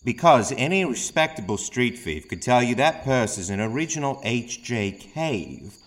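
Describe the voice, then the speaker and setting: An actor playing a cocky Victorian street thief in a comedic audio drama, with theatrical, colloquial patter. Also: male voice, mid-range voice, big pitch swings, moderate pace. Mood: smug, menacing. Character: cocky Victorian London street thief, really an exasperated husband.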